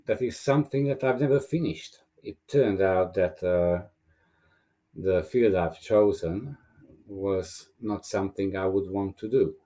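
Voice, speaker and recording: A man's voice: low-pitched, measured pace, mellow. A middle-aged man from Romania, a native Hungarian speaker, speaking as a guest in a casual interview, thoughtful and hesitant with fillers.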